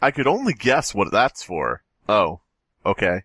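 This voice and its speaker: Animated, bright-voiced man riffing playfully. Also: lowish voice, measured pace, husky, dry recording, studio quality. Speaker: podcast co-host. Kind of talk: casual banter while reading a story aloud.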